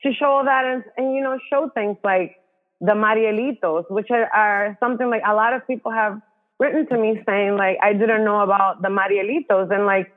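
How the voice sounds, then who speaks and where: A woman's voice: medium pitch, fairly even intonation, normal speed. A woman from the Dominican Republic, a guest author, talking earnestly in a casual interview and thinking aloud with many fillers. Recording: tinny phone-like line.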